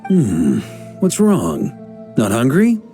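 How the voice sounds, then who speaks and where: A man's voice: medium pitch, wildly swooping pitch, slow pace, mellow, soft background music. A man playing an easygoing, roguish grandmaster of knights in a video game, with a laid-back, teasing manner. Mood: puzzled.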